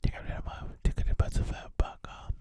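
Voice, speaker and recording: An ASMR host speaking in a breathy whisper, with repeated nonsense syllables and mouth sounds, inside a car. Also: quick pace, warm tone.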